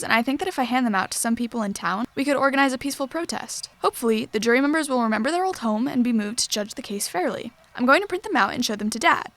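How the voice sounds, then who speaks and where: A woman's voice: a little high, fairly bright tone, dry recording. A young woman playing an earnest, frustrated teenage girl activist, speaking conversationally in an audio drama diary. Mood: hopeful, eager. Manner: conversational, earnest, youthful phrasing.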